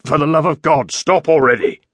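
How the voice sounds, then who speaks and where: A man voicing a gruff, wounded English lord, exasperated, sarcastic and commanding, in a historical audiobook. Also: medium pitch, animated, normal speed, fairly bright tone, no echo, clean studio sound. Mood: desperate, angry.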